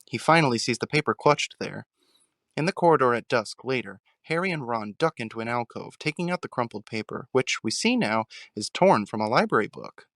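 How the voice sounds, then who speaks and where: A man's voice: medium pitch, animated, a little fast, fairly bright tone, dry recording, studio quality. A man giving scripted narration for an audio drama, describing scenes as a storyteller.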